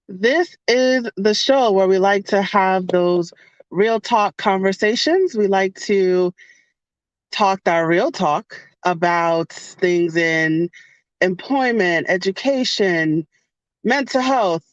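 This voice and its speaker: A woman's voice: medium pitch, no room sound, muffled recording. A woman hosting a podcast from her car, chatting casually and repeating her phrases. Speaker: podcast host. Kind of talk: casual podcast intro and interview.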